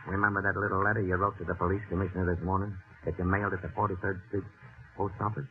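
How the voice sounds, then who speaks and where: An actor playing a menacing, smooth gangster blackmailer with slangy, taunting talk in 1940s radio style. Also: male voice, sits low, flat delivery, racing. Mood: quietly threatening. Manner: slangy, taunting, calls listener pal. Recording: muffled old radio recording, faint music.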